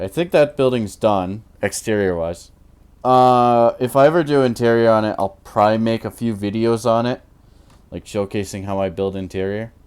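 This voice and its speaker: Deep-voiced young man rambling. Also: a little mellow, smooth. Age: 20s. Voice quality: naturally deep voice. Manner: rambling, hesitant, many fillers. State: tired. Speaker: Minecraft YouTuber. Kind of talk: rambling gameplay commentary.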